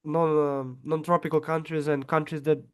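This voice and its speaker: Serbian-accented man, non-native English, smooth higher voice, halting questions. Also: level tone. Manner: halting, searching for words. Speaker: audience member asking questions.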